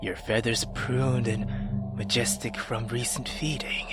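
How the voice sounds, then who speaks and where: A man voicing a haunted, sorrowful hero narrating a horror visual novel in a solemn, whispery hush. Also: steady tone, slow pace, over loud music, natural voice. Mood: haunted, grim. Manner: low, hushed, whispery, solemn.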